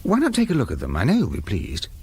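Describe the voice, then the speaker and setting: A man's voice: fairly low pitch, huge pitch swings, quick pace, a little mellow, breathy edge, full character voice. A British man acting in a radio drama as an eager, apologetic deputy, deferential and a little hesitant. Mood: eager, explaining.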